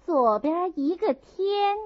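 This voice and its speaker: A woman voicing a lively young maidservant who calls out drinking-game verses in a classic novel reading. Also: very high voice, takes it slowly, no echo, pitched above the performer's own voice, exaggerated voice. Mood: bright, calling out. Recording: dull, muffled audio.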